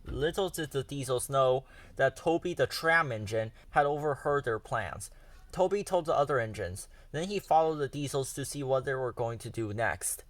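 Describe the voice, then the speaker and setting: A man reading a children's picture book aloud in a lively, expressive storytelling manner. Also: medium pitch, normal speed, no echo.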